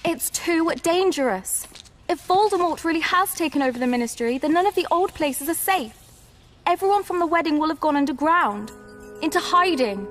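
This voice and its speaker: High-voiced, earnest young witch. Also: lively intonation, normal speed, a bit bright. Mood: worried. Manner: earnest, urgent. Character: practical, anxious teenage girl witch. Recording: faint music behind.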